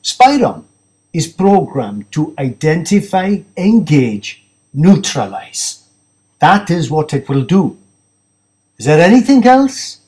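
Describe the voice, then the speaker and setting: A cold, clinical official from a thriller audiobook, acted out by a male narrator. Pitched up from plain narration, the delivery is clipped and declarative, with no warmth in it. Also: sing-song, slow pace. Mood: cold, superior.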